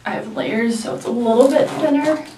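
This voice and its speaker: High-voiced young woman, stuffy nasal, rambling hair vlog, echoey room, mic kinda far. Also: moderate pace, warm tone, slight background noise. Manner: chatty, rambling, lots of likes and ums. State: nasal from a sinus infection. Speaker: beauty vlogger. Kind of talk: casual hair tutorial.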